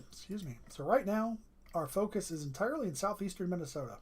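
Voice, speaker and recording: Throat-clearing live weather streamer, straining voice. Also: mid-range voice, brisk. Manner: steady running commentary through radar maps. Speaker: weather livestreamer. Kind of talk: live weather radar commentary.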